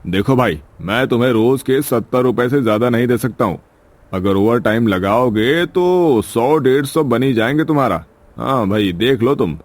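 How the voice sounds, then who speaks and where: A man's voice: mid-range voice, expressive, moderate pace, dry recording, natural voice. A man voicing a firm, tight-fisted labour boss with a matter-of-fact bargaining tone in a story. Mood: businesslike.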